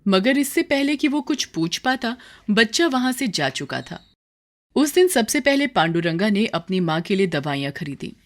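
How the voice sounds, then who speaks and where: A woman's voice: medium pitch, very animated, normal speed. A woman storyteller reading scripted dramatic narration for a moral story.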